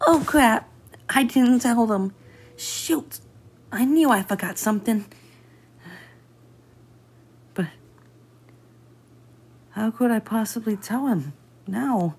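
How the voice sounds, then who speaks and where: Woman playing an excitable, anxious childlike cartoon gem, raspy. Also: on the high side, takes it slowly, pauses often, full character voice. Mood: panicked, anxious. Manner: stammering, slangy, flustered. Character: excitable, anxious, childlike female gem playmate in comic dub. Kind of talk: amateur cartoon comic dub.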